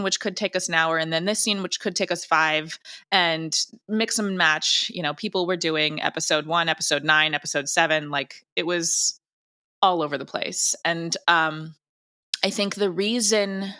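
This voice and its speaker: A woman's voice: lowish voice, bright, forceful tone. A talkative woman chatting casually and emphatically as a director being interviewed. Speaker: audio drama director, interview guest.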